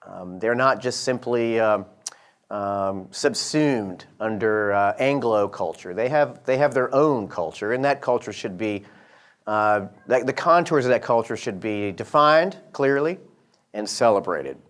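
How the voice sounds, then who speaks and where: A middle-aged man from Georgia with a slight Southern accent, giving a lecture with many pauses and fillers. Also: on the low side, animated, a bit bright. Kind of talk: lecture to an audience.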